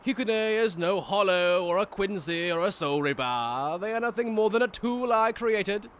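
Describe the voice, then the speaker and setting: A man's voice: high voice, animated, normal speed, fairly bright tone, a little hiss or hum. A man playing a cruel, mocking aristocratic villain in an anime fan dub, with pompous, condescending, theatrical delivery. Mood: coldly disdainful. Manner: pompous, theatrical, sneering formal speech.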